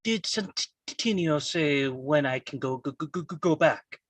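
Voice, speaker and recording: Man reading young mobster's son, heavy stammer, husky, fairly high male pitch, guarded, insistent, dry sound. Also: animated, measured pace, dry recording. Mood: restless. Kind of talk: live table read of a film script.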